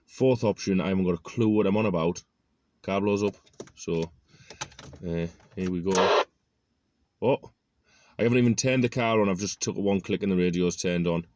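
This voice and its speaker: A man's voice: sits low, normal speed, a little mellow. A man giving a casual, self-deprecating hands-on demonstration, thinking aloud in an informal way as he works in a car. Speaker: car DIY video host. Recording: clear background noise.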